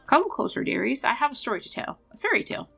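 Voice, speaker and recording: A woman's voice: high-pitched, big pitch swings, quick pace, creaky, fairly bright tone, soft background music, voice pushed up, exaggerated voice. A woman voicing a cryptic, motherly old witch in a video game, with a coaxing, mysterious storyteller's manner.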